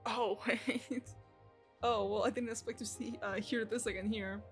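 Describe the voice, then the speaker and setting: A woman's voice: a little high, fairly even intonation, moderate pace, soft background music. A young adult woman streaming a game, commenting casually with slang and swearing.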